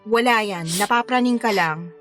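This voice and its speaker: High, frank Filipino wedding planner, woman acting. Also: normal speed, fairly bright tone, soft background music, lightly acted. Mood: reassuring. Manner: frank, coaxing. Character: female wedding planner, frank, advising, secretly conflicted. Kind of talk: radio drama acting in Tagalog.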